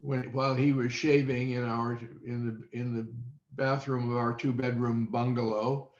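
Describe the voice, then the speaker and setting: An American man in his eighties recollecting in an interview, speaking in a halting, deliberate way. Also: mid-range voice, flat delivery, a little mellow. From Indiana, United States. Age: 80s. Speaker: interview guest. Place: indoors on a video call. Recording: dull roomy video call audio.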